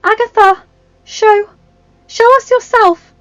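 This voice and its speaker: A woman's voice: very high voice, lively intonation, unhurried, highs cut off, pitched above the performer's own voice. A woman voicing an earnest, easily startled young heroine in a storybook, breathless and urgent. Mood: urgent, shocked. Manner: stammering, gasping, urgent.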